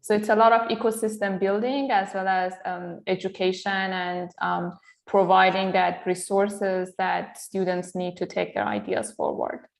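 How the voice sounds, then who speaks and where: Iranian-woman, flat even delivery. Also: medium pitch, gravelly, echoey room. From Iran. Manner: measured, many um fillers and pauses. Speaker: guest, entrepreneur and lecturer. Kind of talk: interview conversation.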